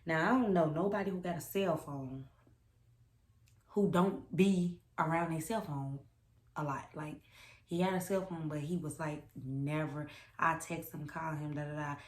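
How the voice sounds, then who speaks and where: A young woman with a low voice chats casually as a storytime vlogger. She is sassy and emphatic, often repeating herself to make a point. The room around her adds a noticeable echo. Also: steady tone, a little slow. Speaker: vlogger telling a personal story. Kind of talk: casual storytime chat to camera. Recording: roomy echo.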